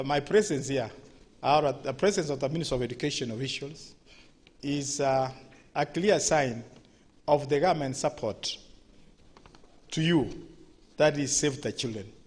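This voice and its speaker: A man's voice: mid-range voice, unhurried, pauses often, darkish tone. A man with a Kenyan accent, a ministry director giving formal salutations at a launch event, with frequent hesitations. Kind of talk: formal address.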